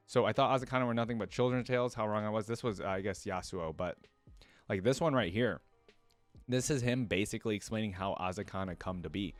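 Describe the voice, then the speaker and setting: A man's voice: on the low side, fast, no room sound, soft background music. A man hosting a reaction video, giving casual, rambling commentary on video game voice lines.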